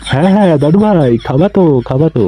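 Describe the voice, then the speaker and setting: A man's voice: a little high, expressive, fast, warm tone. A man voicing a cranky, scolding, foul-mouthed elderly grandfather in a comedy cartoon. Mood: coaxing. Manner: scolding, heavy swearing.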